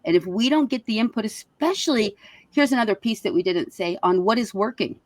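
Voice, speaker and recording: A woman's voice: medium pitch, brisk, no room sound. A woman speaking as a panel guest, conversational and often checking that listeners follow. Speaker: school board member, podcast guest.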